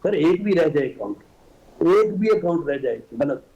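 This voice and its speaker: A man's voice: on the high side, animated, normal speed, mellow, poor audio. A middle-aged man with a Pakistani accent, a politician arguing his party's position in a panel debate. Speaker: senator and party guest.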